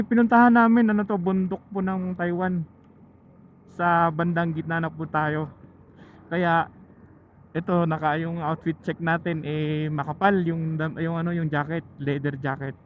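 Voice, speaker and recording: Filipino motovlogger on a tinny, noisy helmet intercom. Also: male voice, a little high, flat delivery. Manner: chatty, halting, thinking aloud. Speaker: motorcycle vlogger. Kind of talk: casual vlog chat to viewers while riding. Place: outdoors riding a motorcycle on mountain roads. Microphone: helmet intercom microphone. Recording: muffled phone-like helmet intercom, loud vehicle noise.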